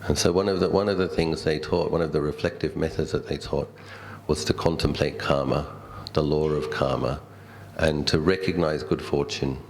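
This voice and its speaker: Very deep-voiced Australian monk telling stories. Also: male voice, fairly even intonation, normal speed, hardly any pauses, a little mellow, slight background noise. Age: middle-aged. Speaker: Buddhist monk giving a talk. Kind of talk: dhamma talk with personal storytelling.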